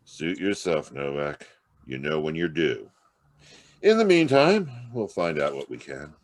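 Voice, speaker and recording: A man playing a gruff, menacing, sarcastic police detective in a 1940s-style radio noir, curt and taunting. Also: very deep voice, sing-song, measured pace, full character voice. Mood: gruff, threatening. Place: live remote video-call performance.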